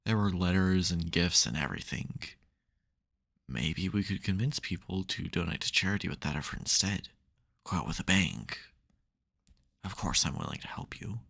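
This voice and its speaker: A male supervillain as acted by a man speaks in a very deep voice with heavy fry. He teases dryly and grumbles, yet stumbles into flustered softness. It is an intimate ASMR audio drama performance. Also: lively intonation, moderate pace, exaggerated voice. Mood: wry, scheming. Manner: dry teasing, hesitant, mock-grudging. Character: supervillain nemesis, secretly soft, teasing and flustered.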